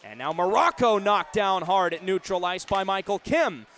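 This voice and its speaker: A young man in his twenties works as a hockey play-by-play announcer on radio. His voice sits fairly high for a man. He narrates the action live in short, vivid bursts. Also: expressive, normal speed, fairly bright tone, slight background noise. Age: 20s. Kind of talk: live radio sports commentary.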